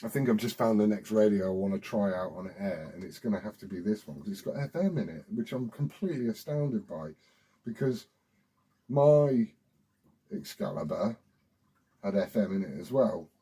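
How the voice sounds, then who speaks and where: A British man with a husky voice rambling informally and swearing as he hosts a casual hobby vlog. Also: on the low side, expressive, moderate pace, warm tone, poor audio. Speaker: CB radio hobbyist video host. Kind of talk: casual rambling chat to camera.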